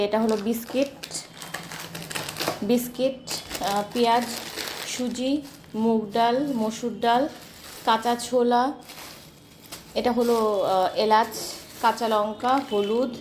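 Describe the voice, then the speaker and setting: A woman's voice: fairly high pitch, darkish tone, a little hiss or hum. A woman narrating a casual daily household vlog as a homemaker, chatting to her viewers. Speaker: homemaker vlogger.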